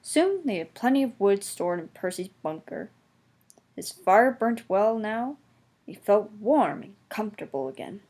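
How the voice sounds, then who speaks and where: A woman's voice: medium pitch, big pitch swings, unhurried, darkish tone. A woman reading a scripted children's story aloud as its narrator.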